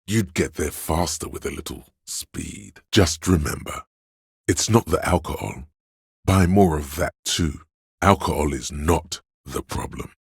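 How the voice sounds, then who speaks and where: A hedonistic voice of craving from a video game, extremely deep and smooth. He narrates and coaxes straight at the listener, sly and seductive, lingering on words. The sound is close and dry. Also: measured pace, dry recording, full character voice. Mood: coaxing, craving. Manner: smooth, insinuating, savoring words. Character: seductive, hedonistic male inner voice of addiction and craving. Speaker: video game character voice actor. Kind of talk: dramatic game narration, second-person coaxing.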